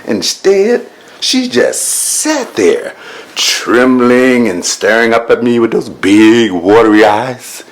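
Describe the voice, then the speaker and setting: A man's voice: mid-range voice, very animated. A man playing a grumpy, proud lion king. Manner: slow, grand and huffy, growling at times. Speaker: first-person narrator. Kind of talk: storybook narration.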